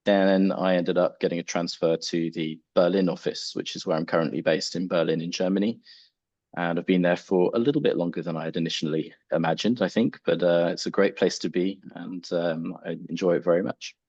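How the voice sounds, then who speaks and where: Low-voiced English man, dry muffled audio. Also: fairly even intonation, brisk, darkish tone, no echo. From England. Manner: hesitant, many ums, polite. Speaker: panelist, music export professional. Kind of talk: conference panel talk.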